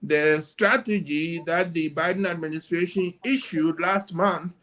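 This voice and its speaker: A man's voice: a little high, steady tone, a little slow, fairly bright tone. An older man from Ghana, speaking emphatically as a panelist on a video call. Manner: emphatic, insistent. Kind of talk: casual panel discussion.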